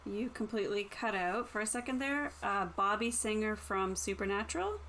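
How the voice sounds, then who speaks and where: A woman's voice: mid-range voice, expressive, normal speed, a bit bright, slight background noise. A woman co-hosting in casual chat, responding informally and briefly as a listener and player. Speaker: co-host and player.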